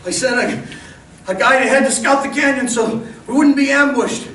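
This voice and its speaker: A commanding cavalry-style ranger captain acted by a man, with a very high voice for the part. It is radio western acting on an echoey stage. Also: normal speed, a bit bright. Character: commanding male Texas Ranger captain on horseback. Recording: distant echoey live stage recording, faint noise.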